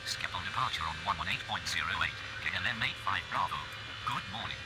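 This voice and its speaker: A man's voice: deep voice, fast talker. A man as a formal, businesslike airline pilot in a simulator game, reading back scripted instructions over aircraft radio. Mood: brisk, formal. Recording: very noisy cockpit radio transmission.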